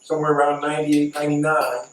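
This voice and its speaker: Echoey, higher-voiced man telling a story. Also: level tone, fast talker. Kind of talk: casual anecdotal storytelling. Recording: echoey, distant mic, faint noise.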